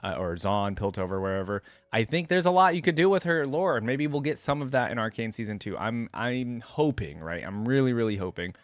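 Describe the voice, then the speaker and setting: A man's voice: medium pitch, lively intonation, a little fast, few pauses, no room sound. A man hosting a reaction video, giving casual, musing commentary and addressing viewers directly. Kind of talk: casual commentary, partly reading a wiki aloud.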